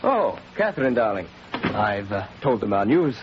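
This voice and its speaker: An actor playing a polite, hesitant, restrained young secretary in a 1940s radio drama. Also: male voice, fairly low pitch, big pitch swings, normal speed. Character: courteous young secretary, newly engaged, restrained. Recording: dull, noisy old radio recording.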